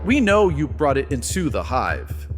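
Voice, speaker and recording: A man's voice: a little high, wildly swooping pitch, measured pace. A man voicing a stern insect royal guard who prosecutes formally and accusingly in an audio story. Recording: loud music bed underneath.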